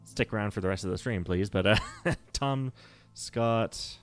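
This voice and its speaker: Man, low voice, casual game streamer, rambling, self-correcting, nerdy, faint background music. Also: measured pace. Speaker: livestreamer. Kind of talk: casual livestream chat while playing.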